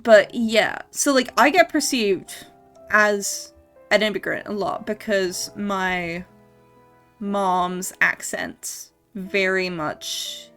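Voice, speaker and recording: A young woman with a mixed, mostly Canadian-sounding accent, talking slowly and loosely while she draws on stream. She rambles with frequent ums and small restarts and often laughs. Faint music plays behind her voice. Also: medium pitch, a bit bright. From Scotland. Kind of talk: casual chat while drawing on stream.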